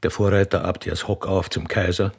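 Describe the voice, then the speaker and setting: A man's voice: low voice, little pitch movement, moderate pace, gravelly, slightly rough. A man narrating a radio play in a scripted, dramatic style.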